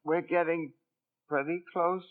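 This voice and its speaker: An actor playing a meek, naive angel trainee, hesitant and deferential, in 1940s radio style. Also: male voice, a little high, fairly even intonation, a little slow, natural voice. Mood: concerned, hesitant. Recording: tinny 1940s radio broadcast.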